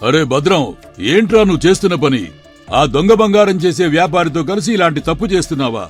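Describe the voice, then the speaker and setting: A man's voice: fairly high pitch, animated, fast, faint music behind, voice pushed up. A man voicing a stern, honest father of grown sons, scolding and questioning in a worried way. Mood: alarmed, scolding.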